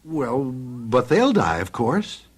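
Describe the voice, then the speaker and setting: An actor playing a fussy, apologetic official who collects the dead, chatty and genial in a radio mystery drama. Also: male voice, mid-range voice, sing-song, slow, husky, full character voice. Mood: genial, matter-of-fact.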